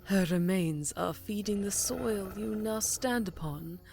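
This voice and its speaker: A woman voicing a smug, scheming vampire countess in a fantasy ASMR roleplay, drawling and taunting with deliberate phrasing. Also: mid-range voice, gravelly, over music. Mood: taunting, menacing.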